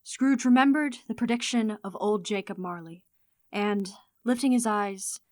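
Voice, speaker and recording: A woman narrating a radio play in a solemn, measured storytelling manner. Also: mid-range voice, no room sound, clean studio sound. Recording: loud music behind narration.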